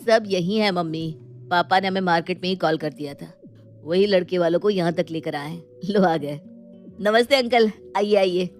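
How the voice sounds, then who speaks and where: A woman playing a polite, put-upon young man in an animated family story. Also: lowish voice, normal speed, soft background music, lightly acted. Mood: welcoming. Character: polite, weary young man helping the household. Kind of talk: animated Hindi moral story acting.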